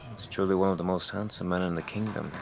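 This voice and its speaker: An actor playing a troubled, hesitant priest who narrates a dark fantasy audio drama. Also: male voice, low-pitched, fairly even intonation, fast talker, vocal fry, a little hiss or hum. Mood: awed. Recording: echoey voice with music underneath.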